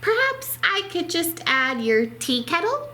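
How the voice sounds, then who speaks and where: A woman's voice: very high-pitched, big pitch swings, a little slow, sharp tone, a little hiss or hum, voice pushed up, exaggerated voice. A woman voicing a small, cheerful, helpful male mouse in a chirpy, sing-song way for a children's storybook. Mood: eager.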